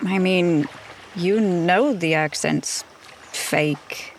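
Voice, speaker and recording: A woman's voice: fairly low pitch, very slow, slight background noise. A woman playing a tabletop roleplaying game, talking casually in character as in everyday conversation.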